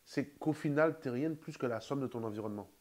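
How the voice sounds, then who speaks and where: A man's voice: medium pitch, fast, a little mellow. A man in casual conversation, reflective and hesitant, restarting his sentences often.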